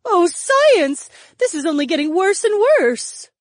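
A woman's voice: on the high side, sing-song, moderate pace, a little mellow, no room sound, clean studio sound. A woman voicing a caring, anxious young woman in an audiobook, in an earnest, fretful manner. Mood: worried. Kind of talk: audiobook character acting.

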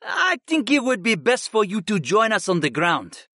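Slow, formal city guard, as a man puts him on. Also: high voice, huge pitch swings, pushed and bright, no echo, clean studio sound, voice pushed up, heavily acted.

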